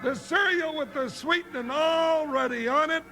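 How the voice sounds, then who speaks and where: A man's voice: extremely high pitch, moderate pace, gravelly, bright, forceful tone, faint music behind, full character voice. An American man playing a jolly, reluctant cowboy sidekick in a 1950s radio Western, with folksy, drawling Old West talk. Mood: excited, jolly. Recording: noisy, crackly 1950s radio recording.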